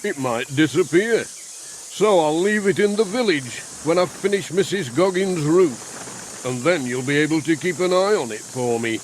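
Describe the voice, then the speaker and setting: Bustling British handyman for a children's audiobook, man acting. Chatty, emphatic, lively intonation, projected delivery. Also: a little high, measured pace, a little hiss or hum, low-quality recording. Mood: chatty, busy. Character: busy, chatty village handyman.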